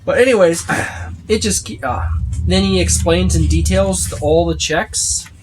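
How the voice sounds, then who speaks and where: Higher-pitched man, rambling casual walkthrough. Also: unhurried, background noise. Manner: rambling, listing items as he browses. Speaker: tabletop game book reviewer. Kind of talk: casual unscripted book walkthrough.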